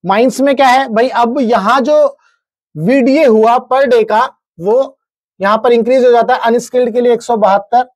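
A man's voice: very high-pitched, a little mellow. An Indian man in his thirties presents an explanatory lesson in a methodical way. He reads through figures step by step and checks in with viewers, and the sound is dry, with little room echo. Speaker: presenter explaining government wage notices. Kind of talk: explanatory lecture, reading out figures and tables. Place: indoor studio, standing at a large digital screen.

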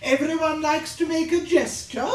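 A woman's voice: high voice, steady tone, moderate pace, close to an everyday voice. A woman playing a bossy, flustered school official in a British radio drama, with a commanding, dramatic delivery. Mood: scolding. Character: Mrs Dooley, bossy flustered middle-aged school official. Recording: roomy, distant, noisy background.

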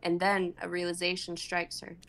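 A woman in her twenties narrates a script in a bright, forceful voice. Her pitch sits a little low as she reads out scene directions dramatically. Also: completely flat delivery, moderate pace. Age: 20s. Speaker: narrator reading stage directions. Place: home room on a group video call.

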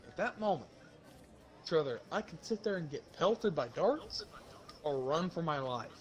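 A young man casually telling stories to friends while gaming, slangy and animated as he acts out the dialogue. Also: a little high, normal speed, a little hiss or hum. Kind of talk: casual storytelling among friends during online game.

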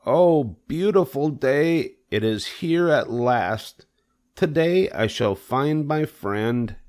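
Guy doing a high excitable little pig for a kids storybook, super polite and earnest. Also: takes it slowly. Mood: joyful, hopeful. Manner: formal, over-polite, eager. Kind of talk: children's storybook character voice.

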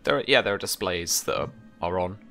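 A young man casually narrating as game master and explaining rules, in a hesitant, halting way, on a video call. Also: deep voice, expressive, sharp tone, soft background music. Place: indoor room at home, over video call. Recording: faint background noise.

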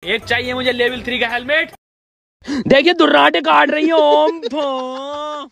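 A man's voice: very high-pitched, moderate pace, gravelly, pushed and bright, slight background noise. A man making brash, taunting comic game callouts. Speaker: comedian recording game voice-pack lines.

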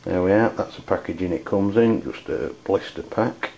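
A man with an English accent giving an unscripted product review in a hesitant, halting way. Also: low-pitched, gravelly, mellow. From United Kingdom. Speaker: amateur radio reviewer. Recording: dull lo-fi audio with background noise.

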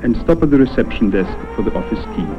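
A man's voice: mid-range voice, animated, quick pace, highs cut off, over music. A man from Poland reads scripted 1960s documentary narration as a newscaster, on an old film soundtrack. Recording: thin, band-limited old film soundtrack.

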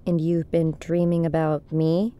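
A woman's voice: low voice, little pitch movement, a little slow, warm tone, smooth. An actress playing a guarded, brooding young woman, a daughter in a naturalistic horror audio drama. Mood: guarded, skeptical. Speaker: voice actor.

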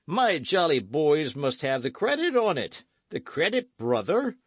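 A man voicing a drunken old male outlaw disguised as a monk, tipsy and hiccuping, with a boisterous, slurring delivery in a higher, slower voice than his own. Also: sing-song, husky, no echo, heavily acted. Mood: drunken bluster.

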